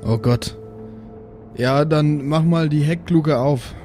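A man's voice: fairly high pitch, slow pace, mellow, soft background music. A man playing a mild-mannered starship captain and father, bemused and a bit hesitant, in a tabletop audio play. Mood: baffled.

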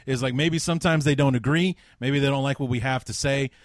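A male podcast host with a baritone voice and a lively, joking way of talking to his guest. The sound is dry and close. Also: brisk, no echo. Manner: enthusiastic, joking banter. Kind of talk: casual football podcast chat.

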